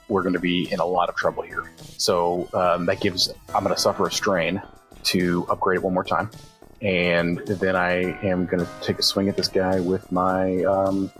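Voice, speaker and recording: Male tabletop player, distinctly low voice, loose gaming chat, music in the background. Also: a bit bright. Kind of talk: casual game chat. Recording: music behind the voice.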